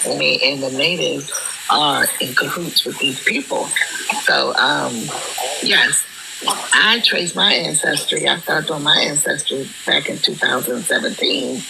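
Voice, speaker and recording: A hoarse woman calling in, speaking in a halting way with many ums. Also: deep voice, background noise. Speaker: caller. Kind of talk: call-in talk.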